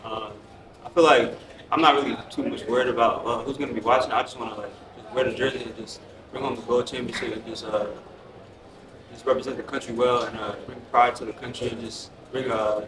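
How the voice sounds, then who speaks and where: A man's voice: mid-range voice, fairly even intonation, a little fast. An eighteen-year-old man, a basketball player, answering press questions with a lot of hesitant filler. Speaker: national team basketball player. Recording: roomy, crowd noise, distant mic.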